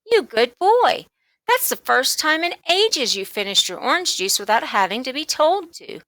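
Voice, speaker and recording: A woman's voice: high voice, sing-song, normal speed, fairly bright tone, dry recording, pitched above the performer's own voice. A woman voicing a mild, fussing, placating mother of two in a children's storybook. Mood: pleased. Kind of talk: children's storybook read-aloud.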